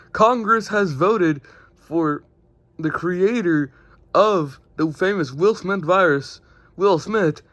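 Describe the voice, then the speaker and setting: A man as a news announcer, reading an amateur scripted bulletin in a halting way. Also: sits high, fairly bright tone, dry recording. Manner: halting, stumbling over words.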